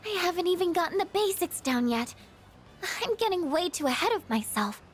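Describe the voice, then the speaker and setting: Shy teenage game heroine, high voice, gentle, hesitant, apologetic, self-doubting, background music. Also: female voice. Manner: hesitant, apologetic, soft-spoken. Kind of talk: English video game dub acting.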